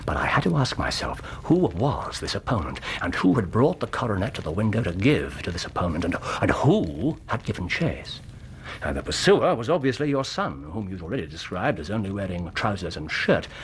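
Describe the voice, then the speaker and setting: An English actor in a radio drama playing a brilliant, self-assured detective who lays out deductions in precise, theatrical storytelling. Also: male voice, low voice, sing-song, a little fast, slight background noise. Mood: brisk, intent.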